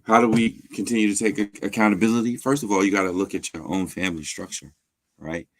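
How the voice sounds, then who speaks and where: A man, a pastor guest, explaining his views in a measured way with frequent pauses and fillers, often affirming the host. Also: lowish voice, steady tone, no echo. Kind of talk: conversational teaching.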